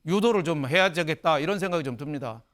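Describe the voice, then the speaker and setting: A man's voice: fairly high pitch, lively intonation, fast talker, fairly bright tone. A man commenting on a studio panel, making folksy joking analogies. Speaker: political commentator.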